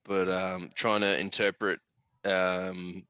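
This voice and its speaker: A man's voice: deep voice, flat delivery, takes it slowly, pushed and bright, smooth, dry recording, dull audio. An Australian man chatting casually as a podcast host, blokey and sweary, full of slang.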